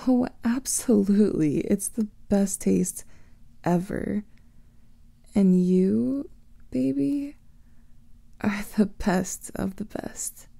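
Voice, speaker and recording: A woman voicing an affectionate female vampire lover in a whispered ASMR roleplay, speaking softly and intimately close to the mic. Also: mid-range voice, slow, gravelly, long pauses, no room sound. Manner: soft intimate whispering, doting.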